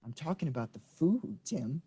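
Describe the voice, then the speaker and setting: A man's voice: fairly high pitch, very animated, normal speed. A man playing Bobby, a brash, blunt young man in a staged play reading. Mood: needling. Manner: loud, offhand, needling.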